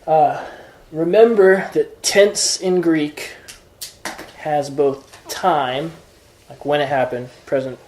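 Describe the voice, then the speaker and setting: Mellow-voiced man tutoring Greek. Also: on the high side, fairly even intonation, slow pace, reverberant, a little hiss or hum. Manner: patient, explains step by step with examples. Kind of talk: informal one-on-one lesson.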